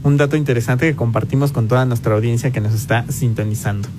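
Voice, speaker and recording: A man's voice: medium pitch, fairly even intonation, fast talker, over music. A man with a Mexican accent hosting an interview, putting formal, courteous questions to a guest. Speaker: interviewer, show host. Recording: clearly noisy ambience behind the voice.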